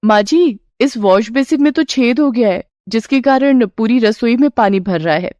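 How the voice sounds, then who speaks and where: A woman voicing a polite, easily amazed young daughter-in-law in a Hindi cartoon moral tale, respectful and expressive. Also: fairly high pitch, normal speed, no room sound, clean studio sound, lightly acted. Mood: polite, concerned. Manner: respectful, wide-eyed, expressive. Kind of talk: cartoon storybook character voice.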